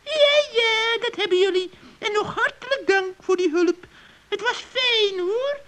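A performer playing a gloating, spiteful old witch in a children's radio play, with a creaky, cackling voice and a mock-friendly, taunting manner. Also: extremely high pitch, unhurried, fairly bright tone, slight background noise.

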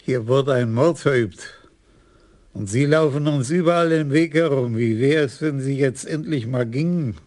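An actor playing a wry, stubborn police commissioner in a radio crime play. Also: male voice, medium pitch, normal speed, a little mellow, no room sound, close to an everyday voice. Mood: angry. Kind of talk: radio drama acting.

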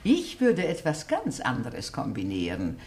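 An actress playing a shrewd, inquisitive elderly spinster sleuth in a radio drama, gossipy and slyly probing. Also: female voice, very deep voice, wildly swooping pitch, unhurried, darkish tone, slight background noise, heavily acted. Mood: sly, self-assured.

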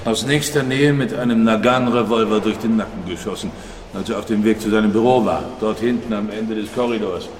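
An actor as a distant, echoing, brusque police boss. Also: male voice, fairly low pitch, brisk, close to an everyday voice. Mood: curt, businesslike. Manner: curt, commanding, clipped sentences. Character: brusque, impatient male secret police superior. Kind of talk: radio drama dialogue. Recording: distant, reverberant hall with faint noise.

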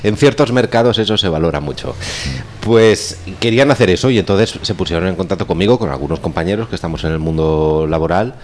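A man with a Spanish accent from Spain talks casually as a guest instructor, halting and often digressing or correcting himself. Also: low-pitched, slight background noise. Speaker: guest, course instructor and audio documentary maker. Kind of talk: casual round-table talk.

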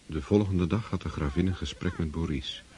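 A man's voice: low-pitched, fairly quick, a little mellow. A man narrating a radio drama from a script.

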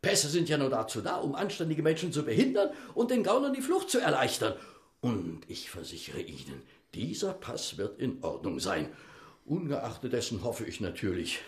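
An actor in a German radio drama playing a zealous detective, insistent and theatrical, swinging from sly to indignant. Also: male voice, mid-range voice, huge pitch swings, normal speed. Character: zealous, excitable male detective hunting a thief. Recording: roomy studio echo.

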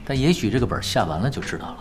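A man's voice: mid-range voice, lively intonation, very fast, close to an everyday voice. Guy playing a laid-back sly gamer dude, mid voice with lots of lilt, music behind. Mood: amused, casual. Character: easygoing, sly male expert gamer for hire. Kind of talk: Chinese audio drama acting.

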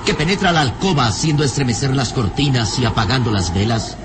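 A man's voice: mid-range voice, very animated, a little fast, noisy background, close to an everyday voice. A man narrating a radio serial from a script in a grave, suspenseful, theatrical manner.

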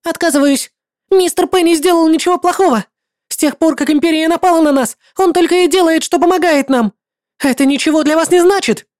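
A woman voicing a loyal young woman fighter who pleads in a shrill, raised voice. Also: fairly quick, darkish tone, no room sound, studio quality. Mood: pleading.

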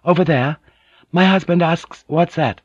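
A man's voice: on the high side, unhurried, dry recording, muffled recording, voice pushed up. A man voicing a polite, squeamish Japanese noblewoman who speaks courteously and hesitantly in an audiobook. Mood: polite, inquiring.

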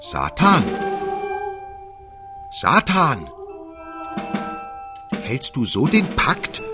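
A man's voice: mid-range voice, wildly swooping pitch, extremely slow, loud music behind the voice, voice pushed up, full character voice. A man voicing a desperate old witch woman from a Gothic tale, crying out imploringly. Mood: desperate, screaming. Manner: screamed, imploring outcries.

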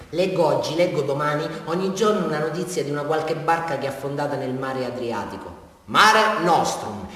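Echoey, high-voiced simple-minded southern Italian confessor. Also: male voice, sharp tone. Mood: rambling, subdued. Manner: rambling, repetitive, insistently addressing his listener. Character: simple-minded, rambling, eager male suspect confessing to police. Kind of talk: theatrical radio monologue, colloquial confession. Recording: very echoey hall, distant mic.

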